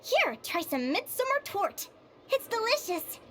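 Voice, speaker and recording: A woman's voice: very high-pitched, sing-song, a little slow, a bit bright, slight background noise, heavily acted. A woman voicing an eager, curious young woman guild advisor in a video game, friendly and enthusiastic in manner. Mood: apologetic, eager. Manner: bubbly, enthusiastic. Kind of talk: video game character dialogue. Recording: music behind the voice.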